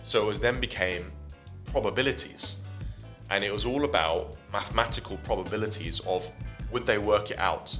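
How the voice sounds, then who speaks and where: A man's voice: on the low side, moderate pace, fairly bright tone, soft background music. A man co-hosting a casual podcast, retelling things in a digressive, hesitant way. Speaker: podcast co-host. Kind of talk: casual chat, retelling at length.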